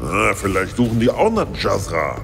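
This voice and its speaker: A man's voice: on the low side, wildly swooping pitch, normal speed, gravelly, a little hiss or hum, heavily acted. An actor playing a startled, fumbling male sidekick in a sci-fi audio drama. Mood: flustered. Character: Hubert, Rick's bumbling male sidekick.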